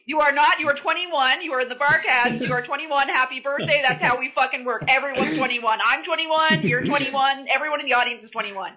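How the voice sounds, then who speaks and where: A woman with a high, bright voice co-hosts a podcast in casual banter. She teases with a mock-stern manner, and the sound is slightly muffled, as on an internet call. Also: brisk, hardly any pauses. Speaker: podcast co-host.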